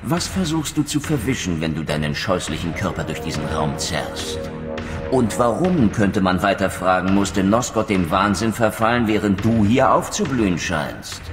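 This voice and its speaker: A man's voice: sits low, expressive, moderate pace, music in the background. A man playing a brooding, proud undead wraith in a video game, speaking eloquently and theatrically with sardonic bitterness. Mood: sardonic, accusing. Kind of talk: dramatic game dialogue and scripted narration.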